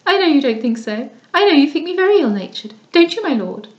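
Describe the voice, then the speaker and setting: A woman's voice: high voice, sing-song, quick pace, lo-fi sound, voice pushed up. A woman putting on a mincing, affected young lady of rank. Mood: petulant, coquettish. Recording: roomy echo.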